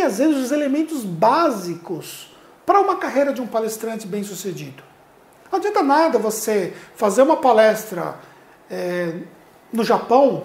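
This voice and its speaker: A man's voice: extremely high pitch, a little mellow. A middle-aged man with a Brazilian accent, giving earnest advice straight to the viewer as a public speaking coach, recorded on a lapel mic in a studio. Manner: earnest, addresses viewer directly, gestures a lot while talking. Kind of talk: direct-to-camera advice video. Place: indoor studio with plain light backdrop. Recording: roomy echo.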